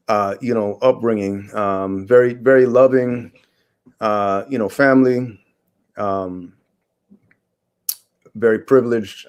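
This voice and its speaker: A man in his forties from Guyana, a historian answering interview questions in a halting way, with many fillers and restarts. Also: lowish voice, darkish tone. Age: 40s. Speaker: guest historian.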